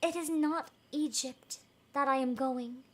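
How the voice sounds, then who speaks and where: A woman voicing a devoted, dying little male swallow in a storybook, soft and tender. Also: high voice, a little slow. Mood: resigned.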